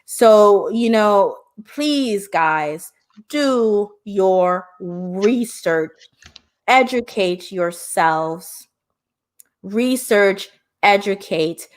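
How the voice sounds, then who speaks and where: A woman's voice: medium pitch, animated, drawn-out words. A middle-aged woman from Jamaica, hosting a live-stream discussion and speaking passionately, often turning to address her viewers directly. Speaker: host and hypnotherapist.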